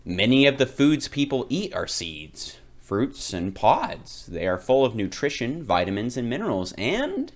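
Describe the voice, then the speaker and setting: A man reading a children's picture book aloud, phrasing it deliberately and with expressive emphasis. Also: medium pitch. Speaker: read-aloud reader.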